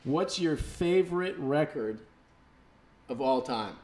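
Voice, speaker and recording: A man's voice: a little high, expressive, slow pace, a little mellow, roomy sound. Easygoing man hosting a live interview. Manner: easygoing, joking, reads viewer questions. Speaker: interview host. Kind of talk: live web video interview.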